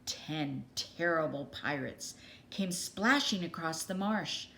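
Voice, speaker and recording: A woman reading a children's story aloud expressively, with dramatic pauses and emphasis. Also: deep voice, expressive, vocal fry, sharp tone, a little hiss or hum.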